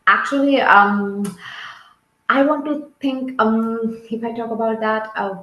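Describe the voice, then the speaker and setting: Slow-speaking woman from Sri Lanka, echoey call. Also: on the high side, fairly even intonation, lo-fi sound. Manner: slow, searching, with non-native grammar. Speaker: guest. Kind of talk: casual interview answers.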